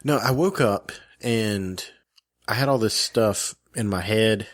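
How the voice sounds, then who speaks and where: A man's voice: lowish voice, takes it slowly. Guy podcast co-host, goofy jokey, a bit under the weather from a cold. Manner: joking, goofy, many restarts. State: getting over a cold. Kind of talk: casual chat.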